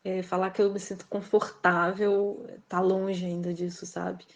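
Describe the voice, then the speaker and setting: A woman's voice: fairly low pitch, flat delivery, a little slow, lo-fi sound. Woman guest with a Minas Gerais accent, reflective and hesitant in casual talk. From Belo Horizonte, Brazil. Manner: reflective, hesitant, fills with "assim", laughs often. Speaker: guest author. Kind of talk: casual interview conversation.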